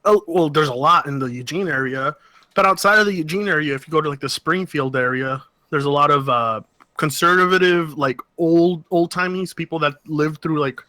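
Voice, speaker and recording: A man with a high, bright voice chats casually on a podcast, rambling and restarting his sentences with frequent laughs. His voice is recorded close and dry, with no room sound behind it. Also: moderate pace, no room sound. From Oregon. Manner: rambling, self-interrupting, laughs a lot. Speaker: podcast co-host.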